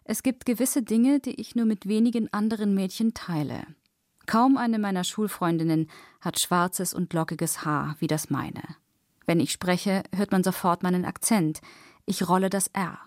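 A young woman reads her own personal essay in a calm, reflective voice. The sound is dry and close, with no room around her. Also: medium pitch, no echo. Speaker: reader of her own blog text. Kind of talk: reading a personal essay aloud.

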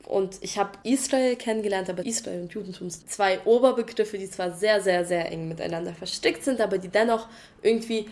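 A woman's voice: medium pitch, brisk, darkish tone. A young woman answering interview questions in a thoughtful, somewhat hesitant way.

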